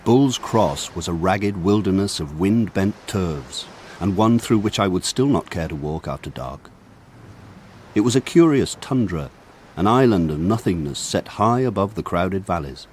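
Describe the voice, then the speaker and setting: An actor playing a grown man looking back on his village childhood, narrating in a measured, lyrical way. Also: deep voice, expressive, a little mellow, a little hiss or hum. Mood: grave. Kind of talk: scripted literary narration for radio drama.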